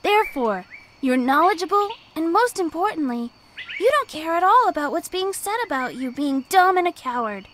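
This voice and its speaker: A woman voicing a small, clever male bunny for a children's animated story, earnest, friendly and persuasive. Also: very high-pitched, moderate pace, slight background noise, voice pushed up. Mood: calm, reassuring.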